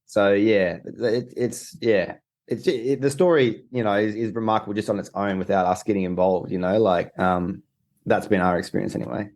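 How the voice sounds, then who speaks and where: A man's voice: fairly low pitch, normal speed, a little mellow. A young man, a documentary co-director, answering interview questions in a rambling way with many restarts. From Australia.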